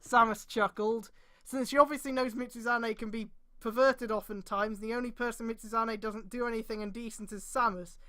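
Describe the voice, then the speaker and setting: A woman's voice: on the high side, fairly even intonation, a little fast, sharp tone. A woman casually reading a story aloud on a stream, breaking off for irreverent, sweary commentary.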